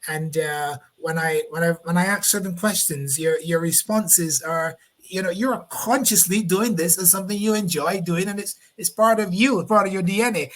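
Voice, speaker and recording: A man's voice: on the high side, low-quality recording. A man from Barbados with a Barbadian accent, hosting a live online interview over a video call and repeating words as he finds his phrasing. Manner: rambling, repeats words while finding phrasing. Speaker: host.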